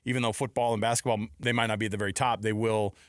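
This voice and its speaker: A man's voice: lowish voice, little pitch movement, racing, dry recording, clean studio sound. A man co-hosting a casual sports talk show, conversational and repeating phrases for emphasis.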